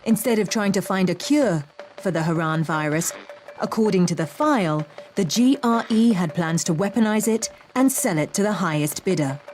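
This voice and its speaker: An actress playing a formal news reporter reading a scripted bulletin in a video game. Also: female voice, lowish voice, animated, normal speed, darkish tone, faint music behind, natural voice. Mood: serious.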